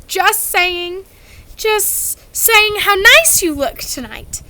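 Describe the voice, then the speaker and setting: Flustered polite schoolgirl voiced by a woman, falsely bright, high pitch, storybook reading. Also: animated, unhurried, slight background noise, exaggerated voice. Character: anxious, earnest schoolgirl, flustered and overly polite.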